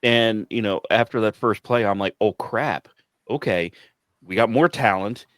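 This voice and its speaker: This is a man with a deep voice in a casual chat. He talks effusively, doubling back on his words. The recording is dry. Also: a little slow, fairly bright tone, no echo. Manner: effusive, stammering repeats.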